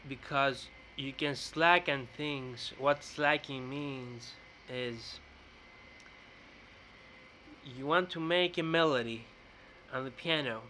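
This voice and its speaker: A young man with a Greek accent rants at his webcam in a bright room, rambling and often restarting his words. His voice is bright and forward, at a middle pitch, with a faint hiss of noise behind it. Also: slow. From Greece. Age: young adult. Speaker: vlogger. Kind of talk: casual rant to camera. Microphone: webcam close up.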